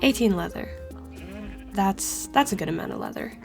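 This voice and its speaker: A woman with a stuffy, congested voice from a cold, chatting casually through her gameplay and laughing softly between thoughts. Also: mid-range voice, animated, normal speed, vocal fry, music in the background. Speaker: let's play host.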